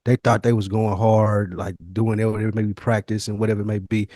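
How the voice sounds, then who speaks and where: A man's voice: lowish voice, level tone, brisk, dark tone, dry recording, studio quality. A man co-hosting a podcast, telling stories and reflecting candidly in casual, slangy language with some swearing. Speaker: podcast co-host.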